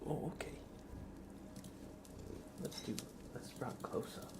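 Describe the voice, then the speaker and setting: A man softly whispering as an ASMR livestreamer, chatting affectionately as if to a pet. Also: slow pace, heavy background noise. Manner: affectionate baby-talk to a pet cat.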